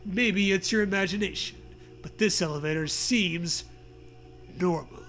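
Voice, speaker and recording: A man reading a comedy story aloud with deliberate, mock-dramatic narration and bits of banter. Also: high-pitched, loud music behind the voice.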